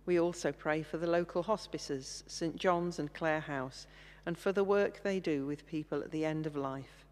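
A woman leading intercession prayers in a church service, reading slowly and steadily at a stand microphone. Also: sits low, fairly even intonation, a little mellow. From England. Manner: slow, measured reading. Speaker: intercessions leader. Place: large church, indoors. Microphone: stand mic, far camera. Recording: long church reverb.

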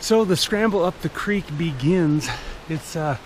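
An enthusiastic man in his thirties hosting his own hiking vlog, chatting casually as he walks. His voice sits a bit high, and he is recorded outdoors on a camera held at arm's length. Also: lively intonation, unhurried, music in the background. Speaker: vlog host. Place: outdoors on a trail and in a canyon. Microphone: action camera held at arm's length.

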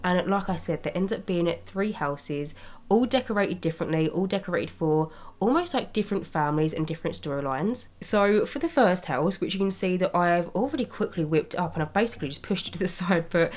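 A young woman with a slightly raspy voice from a cold, chatting casually as she narrates her video-game building. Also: fairly low pitch, animated, brisk, darkish tone. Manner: chatty, rambling, with small laughs. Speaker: Sims speed-build YouTuber. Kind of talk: casual commentary over gameplay. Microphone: voice-over recorded separately from gameplay.

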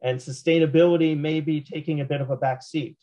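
Hoarse older man on a video call. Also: on the high side, fairly even intonation, warm tone. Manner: measured, frequent restarts and repeated words. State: slightly hoarse, losing his voice. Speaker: panelist. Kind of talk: panel discussion answer. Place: small home office at night. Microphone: video call, laptop camera.

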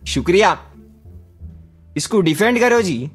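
A man with a high voice delivers short game callouts. He sounds informal and playful, using slang, with faint music underneath. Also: lively intonation, unhurried, slightly rough. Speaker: voice of a game voice pack.

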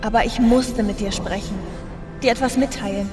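A tender, mysterious young woman, played by an actress, with a fairly high voice. She speaks wistfully in a sci-fi radio play, washed in long reverb over music. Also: steady tone, moderate pace, close to an everyday voice. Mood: urgent. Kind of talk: science fiction audio drama acting.

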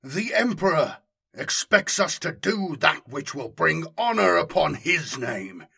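A man's voice: a little high, very animated, measured pace, gravelly, sharp tone, slightly rough, voice pushed up, heavily acted. A man voicing a fiery zealot warrior chaplain, raised and commanding. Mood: fervent, commanding. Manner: fiery, commanding, solemn oratory. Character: zealous armoured warrior chaplain preaching before battle. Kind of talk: dramatic audiobook sermon.

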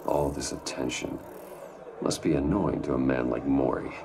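Brooding vigilante rich dude, super deep gravelly voice, terse n intense, video game cutscene. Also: male voice, fairly even intonation, moderate pace, background noise. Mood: watchful, urgent. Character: brooding adult male billionaire vigilante, guarded and commanding.

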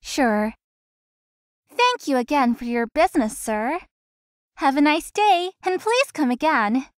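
A woman's voice: high-pitched, lively intonation, slow, dry recording, clean studio sound. A woman voicing a polite, hard-working young florist in a visual novel game. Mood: polite, cheerful.

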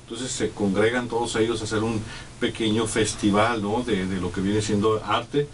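Un hombre de mediana edad de México, invitado en una entrevista de radio, que habla con muchas pausas y muletillas. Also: male voice, lowish voice, little pitch movement. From Mexico. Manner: halting, many fillers and restarts. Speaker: guest artist. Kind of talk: casual radio interview. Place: small radio studio. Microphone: desk studio mic, sitting back. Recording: distant from mic, faint room noise.

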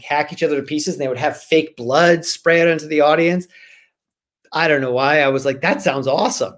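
Man chatting in an animated, joking way. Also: a little high. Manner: animated, joking, slangy, acts out imagined remarks. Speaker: guest author. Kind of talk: casual chat interview. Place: small room at home, on a video call.

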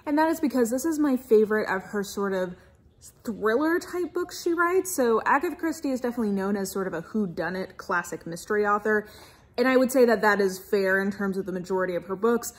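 An American woman in her thirties giving a casual, chatty book review vlog with expressive, emphatic asides. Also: mid-range voice, brisk, breathy edge. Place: furnished room at home, indoors.

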